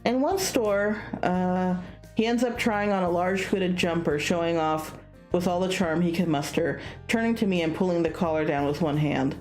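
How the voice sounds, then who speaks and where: A woman's voice: lowish voice, normal speed. A woman streaming a visual novel, reading the narration expressively and slipping into casual asides. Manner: animated, acts out dialogue. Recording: music behind the voice.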